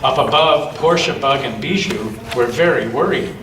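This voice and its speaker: A man reading a children's story aloud with expression, in measured phrases and with chatty asides to young listeners. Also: mid-range voice, noisy background. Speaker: storyteller at a church gathering. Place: large indoor church room. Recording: roomy church recording, distant mic.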